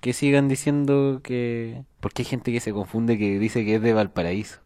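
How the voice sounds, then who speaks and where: A Chilean man co-hosting a casual music chat, opinionated and quick to joke. His voice sits at an ordinary middle pitch. Loud music plays underneath him the whole time. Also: fairly even intonation. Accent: Chilean Spanish. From San Antonio, Chile. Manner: joking, opinionated, laughs often. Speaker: podcast co-host.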